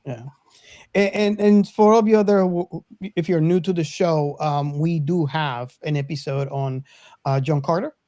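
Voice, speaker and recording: A man's voice: fairly high pitch, moderate pace, mellow, no echo, studio quality. A man hosting a casual podcast chat, good-humoured, with hesitant phrasing. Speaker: podcast host.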